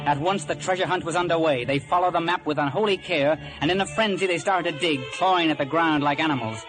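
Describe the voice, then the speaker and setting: A man's voice: on the high side, fast talker. Dramatic male narrator over music. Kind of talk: dramatic scripted story narration.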